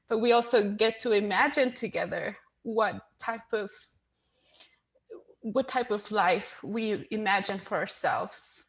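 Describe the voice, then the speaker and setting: A woman giving an earnest, personal reflection to a group, pausing as she finds her words. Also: mid-range voice. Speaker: monologue writer and panel participant.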